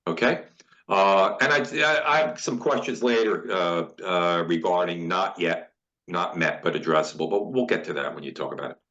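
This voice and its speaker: A man on a committee call, offering praise and questions in halting speech that stumbles and repeats words. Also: low voice, animated, fairly bright tone, highs cut off. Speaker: committee member. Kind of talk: meeting comment. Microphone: video call.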